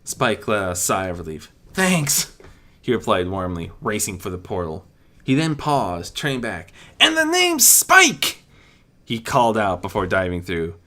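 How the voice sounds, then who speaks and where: A man's voice: sits low, normal speed, fairly bright tone. A man narrating fan fiction as a reader, in a dramatic but homemade storytelling style.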